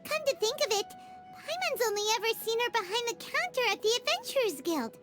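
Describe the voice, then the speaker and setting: A tiny floating female game companion with an extremely high, squeaky voice that bounces all over. She is chatty and excitable and refers to herself in the third person, and loud game music plays behind her. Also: brisk. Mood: chatty, curious. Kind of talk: game cutscene voice acting.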